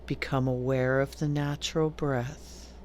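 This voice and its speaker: A woman teaching yoga nidra, giving calm, deliberate guided meditation instructions with long pauses between phrases. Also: extremely low pitch, a little hiss or hum.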